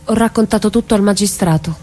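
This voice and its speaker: A woman's voice: mid-range voice, quick pace, darkish tone, lightly acted. An actress playing a bitter, defiant mother, blunt and emphatic in a dramatic stage reading. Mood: sorrowful, firm. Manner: blunt, emphatic, repeating phrases. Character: defiant, bitter mother standing up to a mafia man.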